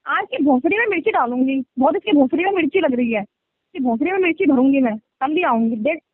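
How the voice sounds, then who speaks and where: A woman's voice: high-pitched, lively intonation, quick pace, dry recording. A woman in a heated phone argument, foul-mouthed, threatening and scolding, heard over a narrow-band call recording.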